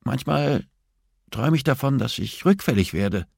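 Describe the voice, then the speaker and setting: A man's voice: mid-range voice, expressive, moderate pace, creaky, a little mellow, breathy edge, no room sound, voice pushed up. A whiny, defiant male inmate from an audiobook, put on by a man. The voice sits well above the reader's own and moves animatedly, brazen and cynical, in a dry close recording. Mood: uneasy, confessing. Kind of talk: audiobook character dialogue.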